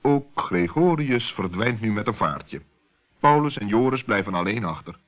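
A man narrating a children's story as an expressive storyteller. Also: on the low side, normal speed. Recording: dull, lo-fi old recording.

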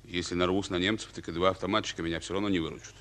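An actor playing a dutiful, resolute Soviet army captain in a radio drama, giving brisk, deferential military replies. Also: male voice, sits low, steady tone, a bit bright. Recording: old radio recording, long reverb.

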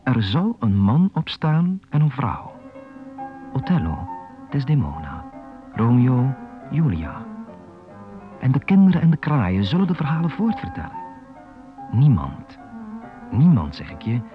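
Impassioned poet, sweeping delivery. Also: male voice, mid-range voice, sing-song, a little slow, long pauses. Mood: defiant, declamatory. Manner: declamatory, dramatic. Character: impassioned defiant poet defending Shakespeare. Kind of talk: radio play acting. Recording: muffled 1980s radio recording over music.

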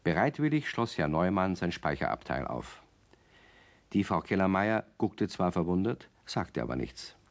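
A man's voice: low voice, big pitch swings, normal speed. A man narrating a children's radio play in a scripted storytelling style.

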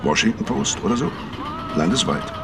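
Low, defiant tough hitman. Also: big pitch swings, moderate pace, gravelly, over music. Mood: defiant, threatening. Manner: blunt, confrontational. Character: tough, defiant male hitman blackmailing his boss by phone. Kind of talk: radio crime drama dialogue. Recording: distant voice amid loud outdoor traffic noise.

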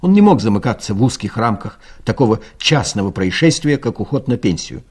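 A man's voice: mid-range voice, very animated, a little fast, darkish tone, slightly rough. A male narrator telling a satirical story in a dry, ironic manner, as in a scripted audio play.

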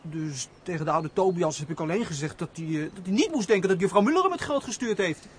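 A man's voice: high-pitched, expressive, fast, a little hiss or hum. An actor playing a naive, chatty young man, a steward's simple son, in a radio play.

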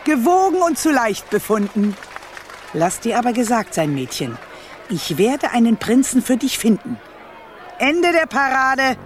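A woman's voice: a little high, sing-song, a little slow, a crowd in the background. An imperious old grandmother queen from a fairy-tale radio play, scheming and scolding. Her delivery swoops up and down in a theatrical way, and the voice rings in a long hall reverb. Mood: commanding. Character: bossy, scheming old royal grandmother, a witch.

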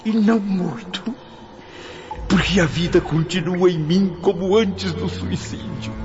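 A man's voice: high-pitched, measured pace, over music. An actor playing a blind, wounded man who has taken his own life, frightened and desperate, in a radio drama. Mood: anguished. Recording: dull recording with loud noise behind.

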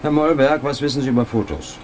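An actor playing a precise professor of digital image manipulation, speaking in a lecturing, matter-of-fact way. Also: male voice, mid-range voice, fast, a little hiss or hum, close to an everyday voice.

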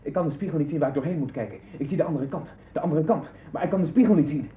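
An actor in a 1960s radio play voicing a troubled man sinking into obsessive visions, erratic and husky. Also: a little high, very fast, slight background noise, close to an everyday voice. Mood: tense, fixated. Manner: erratic, swinging from hushed brooding to loud agitation. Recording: muffled narrowband old radio recording.

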